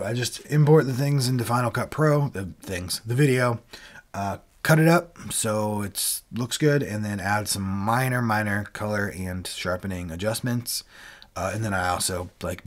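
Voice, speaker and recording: A man with a fairly low voice, roughened by a cold, talks casually into a desk mic. He walks through his process step by step, loose and conversational, like a friendly tutorial stream. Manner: relaxed, chatty, explains step by step. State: sick, voice lower than usual. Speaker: video creator and worship leader hosting a livestream. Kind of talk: casual how-to livestream talk. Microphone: desk microphone, webcam video.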